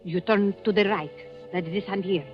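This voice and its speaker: A woman's voice: medium pitch, steady tone, a little fast, over music, lightly acted. Woman acting a streetwise woman, muffled recording. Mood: practical, conspiratorial. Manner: blunt broken English. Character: streetwise, bold Cuban woman of the harbor underworld. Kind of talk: 1940s radio acting. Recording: dull old radio recording.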